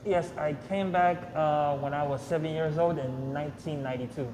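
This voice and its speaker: A man in his thirties who grew up in Nigeria, answering interview questions in a soft, hesitant way with many fillers. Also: fairly high pitch, steady tone, moderate pace. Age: 30s. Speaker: podcast guest. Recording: echoey room with long reverb, clear ambient noise.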